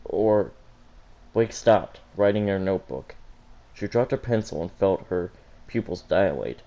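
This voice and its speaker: Low, mellow man reading a story. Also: expressive, moderate pace. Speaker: fan fiction reader on a gaming channel.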